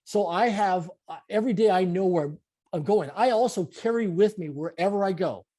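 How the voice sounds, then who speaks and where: Canadian guy late 40s, high voice but mellow, keeps hammering his points in an interview. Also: normal speed. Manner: emphatic, repetitive motivational phrasing. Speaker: guest. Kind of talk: conversational interview.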